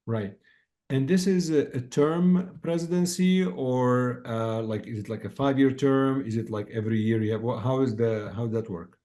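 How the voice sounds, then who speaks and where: A man's voice: mid-range voice, steady tone, moderate pace, dark tone. Chatty man hosting a podcast. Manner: loose and chatty, with "like" and "um". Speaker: podcast host. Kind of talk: casual interview chat.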